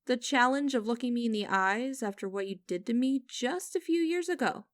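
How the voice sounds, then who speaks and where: Woman acting a stern, high-voiced lady boss. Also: animated, dry recording, natural voice. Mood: bitter, challenging. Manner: condescending, formal, deliberate, teasing. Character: stern, mocking, vengeful young female company boss interviewing. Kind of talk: scripted ASMR-style audio roleplay.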